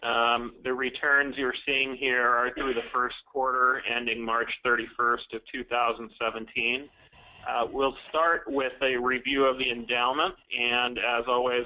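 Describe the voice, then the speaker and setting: A man presenting an investment report as a chief investment officer on a conference call, in a measured, businesslike way. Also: mid-range voice. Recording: slightly dull conference-call audio.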